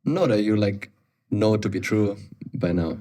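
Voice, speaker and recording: A man from Austria hosts a podcast in a fairly low voice. He rambles as he asks his questions, restarting sentences and filling gaps with "like.". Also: a little slow, dark tone. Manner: rambling, restarts often, many "like"s. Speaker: podcast host. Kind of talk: casual interview chat.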